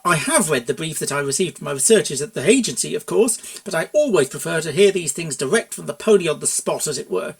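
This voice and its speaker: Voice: mid-range voice, expressive, fast, creaky, few pauses. A performer voicing a suave, middle-aged cartoon stallion consultant with polite, pompous, flowery speech in a story reading. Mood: courteous, businesslike.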